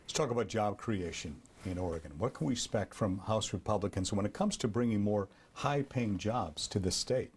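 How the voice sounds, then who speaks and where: A man's voice: lowish voice, normal speed, hardly any pauses, darkish tone, slight background noise. A man interviewing a guest for TV news, asking direct questions in a conversational way.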